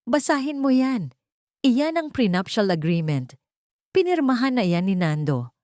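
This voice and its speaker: A woman's voice: fairly high pitch, big pitch swings, measured pace, dark tone, no room sound, clean studio sound, voice pushed up. Woman voicing a cold, imperious old matriarch. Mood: commanding. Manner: imperious, cutting. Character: cold, haughty, threatening old rich matriarch. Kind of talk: dramatic Tagalog audio story acting.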